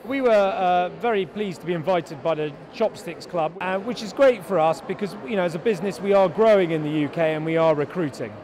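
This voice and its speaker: A man giving a spontaneous interview answer as a company representative at a busy event. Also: high-pitched. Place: busy job fair. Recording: loud busy crowd behind.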